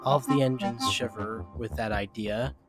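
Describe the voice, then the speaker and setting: A man's voice: fairly low pitch, steady tone, moderate pace. Man narrating a story over music.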